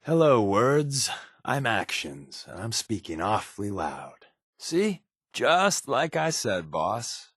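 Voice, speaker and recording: A man's voice: lowish voice, sing-song, slow, a bit bright, husky, no echo, clean studio sound, full character voice. A man with an American accent playing a sly, wisecracking resistance spy in a video game, in a wry, sarcastic, conversational way. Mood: cocky. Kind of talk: video game character dialogue.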